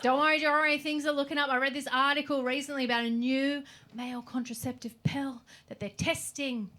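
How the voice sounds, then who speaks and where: High-voiced sweary Australian woman comic. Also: steady tone, a little fast, sharp tone. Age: 40s. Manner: brash, sweary, self-mocking punchlines. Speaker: stand-up comedian. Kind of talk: stand-up comedy set. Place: comedy club stage. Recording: live club audio, audience noise. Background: audience laughter.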